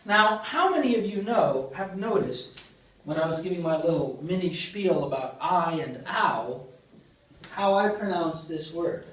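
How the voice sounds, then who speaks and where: A high-voiced man teaches a university class, patiently building up an argument and checking in with students through questions. He is captured from across a very echoey lecture hall, sounding distant from the mic. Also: animated, unhurried. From Greece. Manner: explains step by step, asks the class questions. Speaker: linguistics lecturer. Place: large lecture room.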